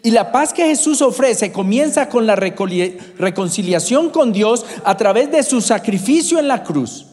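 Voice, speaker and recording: A man's voice: sits high, expressive, normal speed, few pauses, darkish tone. A man from Bogotá with a Bogotá Colombian accent, preaching a sermon and calling out congregation members by name. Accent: Bogotá Colombian Spanish. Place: church hall. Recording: reverberant church hall.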